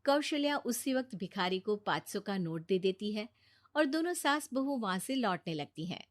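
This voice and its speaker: A woman's voice: mid-range voice, very animated, moderate pace. A woman reading scripted narration for a cartoon bedtime story.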